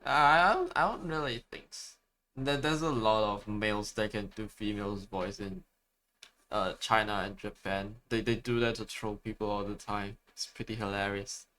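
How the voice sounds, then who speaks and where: A man's voice: lowish voice, steady tone, moderate pace, bright, forceful tone, slight background noise. A man with a Malaysian English accent, chatting casually on a live stream and reading replies aloud. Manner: chatty, restarts words, reads answers aloud.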